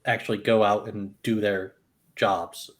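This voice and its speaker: ゆっくり気楽に話す男性. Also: male voice, lowish voice, flat delivery, measured pace. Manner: loose, says "like" often. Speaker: podcast panelist. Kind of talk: casual chat.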